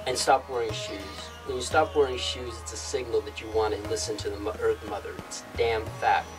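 A young man giving a meandering spiritual yoga talk in an outdoor rock cave, halting often and restarting his sentences. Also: lowish voice, fairly even intonation. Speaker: yoga teacher. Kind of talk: rambling spiritual teaching monologue. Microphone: far camera. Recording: distant mic with music behind. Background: instrumental music.

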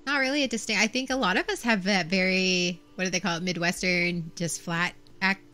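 Female co-host, bright tone, neutral American accent, flirty and giggly. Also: lowish voice, steady tone, fairly quick, soft background music. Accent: neutral American, trained out of Southern. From American South. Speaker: podcast co-host. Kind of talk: casual podcast chat.

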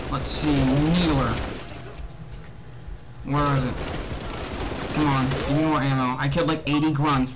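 A young man giving casual, excitable gameplay commentary, often muttering to himself and exclaiming as he plays. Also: medium pitch, background noise. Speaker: let's play gamer. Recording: loud game music and gunfire behind. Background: loud video game music and gunfire.

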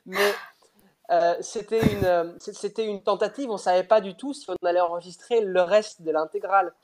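A man's voice: sits high, steady tone, moderate pace. A young man, not a native speaker, talking through a headset on a video call, thoughtful and hesitant with many restarts. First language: not French. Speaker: pianist interviewed. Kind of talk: casual interview over video call. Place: indoor room at home.

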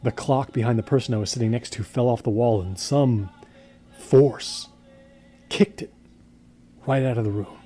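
A man's voice: mid-range voice, moderate pace, long pauses, warm tone, faint music behind, close to an everyday voice. An actor playing a serious, troubled man obsessed with the supernatural, speaking plainly and hesitantly in an understated audio drama. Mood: haunted, serious.

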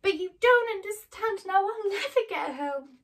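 An earnest young girl speaks in a raised, bright voice, as a woman storyteller plays her. She sounds a little distant from the microphone. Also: high voice, animated, unhurried, creaky, husky, voice pushed up, full character voice.

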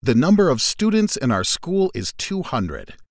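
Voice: mid-range voice, wildly swooping pitch, a little slow, dry recording, clean studio sound. A speaker reading the translation of each drill sentence in a short scripted read-out. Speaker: English translation reader.

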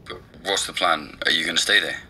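A man's voice: low voice, fairly even intonation, a little fast, bright, forceful tone, breathy edge, full character voice, low-quality recording. An actor playing a laid-back man helping a podcaster with research, asking casual questions in a naturalistic audio drama. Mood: sceptical. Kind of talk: naturalistic audio drama dialogue over a call.

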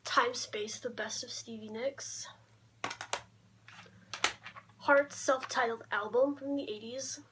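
A young woman talking casually in a low-key show-and-tell vlog, often trailing off, recorded on a camera mic in a bedroom. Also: steady tone, measured pace. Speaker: vlogger showing a collection. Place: small cluttered bedroom, indoors. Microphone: camera mic at arm's length. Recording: clear background noise, handling clicks.

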